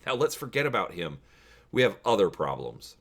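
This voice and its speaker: A man's voice: lowish voice, very animated, normal speed, creaky, exaggerated voice. A man voicing a resolute young male rebel hero in a storybook reading, with an earnest, firm delivery.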